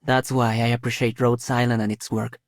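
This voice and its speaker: A man's voice: mid-range voice, level tone, a little fast, no echo, natural voice. Man playing a composed, principled game operator, close and dry. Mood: composed, appreciative. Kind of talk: scripted game character lines.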